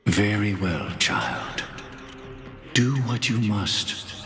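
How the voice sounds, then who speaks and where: A man's voice: lowish voice, sing-song, drawn-out words, creaky, bright, forceful tone, heavily acted. An actor voicing a grave, commanding male elder in a video game, solemn and philosophical, with echoing reverb. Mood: solemn, resigned. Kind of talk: dramatic video game voice acting. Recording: echoey hall-like reverb.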